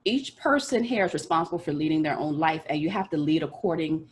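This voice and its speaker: A woman in her thirties from the Bahamas with a Bahamian accent, giving an earnest, direct motivational teaching talk and speaking fast at times. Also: low-pitched, animated, slight background noise. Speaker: life coach teaching a session.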